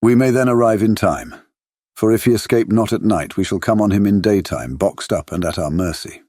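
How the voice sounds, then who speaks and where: Actor doing grave older professor, lowish voice, formal n deliberate, gothic audiobook drama, dry recording. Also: male voice, a little mellow, no echo, close to an everyday voice. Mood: grave, resolute. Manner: deliberate, formal, oddly phrased explaining. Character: grave, learned older professor, vampire hunter.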